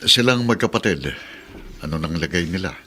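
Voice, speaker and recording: Older uncle, low forceful voice, shrewd, cynical, radio drama, faint noise. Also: male voice, animated, normal speed. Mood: dry curiosity. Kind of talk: Tagalog radio drama acting.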